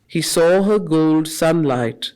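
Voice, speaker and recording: A woman facilitating a study circle, reading poetry in a deliberate, measured way with pauses between short phrases and explaining it as she goes. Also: low-pitched, darkish tone, rough recording.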